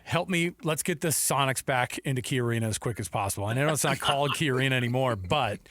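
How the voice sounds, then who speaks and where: A man's voice: mid-range voice, quick pace, a bit bright, dry recording. American man hosting casual sports radio, dry sound. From Seattle, United States. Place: radio studio.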